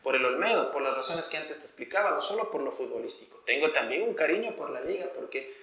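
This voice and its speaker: Bright-voiced Ecuadorian man in a roomy space. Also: on the high side, lively intonation, fast talker. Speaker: interview guest, sports journalist. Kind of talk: conversational interview, reflective explanation.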